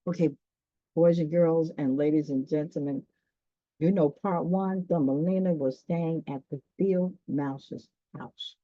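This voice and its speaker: A woman reading a children's story aloud, pausing dramatically and chatting directly to her listeners. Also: sits low, fairly even intonation, dry recording. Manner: dramatic pauses, gasps, talks directly to listeners. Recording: dull, dry lo-fi sound.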